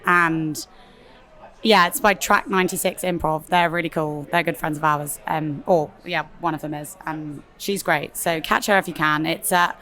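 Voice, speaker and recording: A young woman with a slightly husky voice, chatting casually about her theatre show with lots of fillers. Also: sits low, fairly even intonation, moderate pace, sharp tone. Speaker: theatre performer. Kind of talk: casual interview chat. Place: festival venue interview.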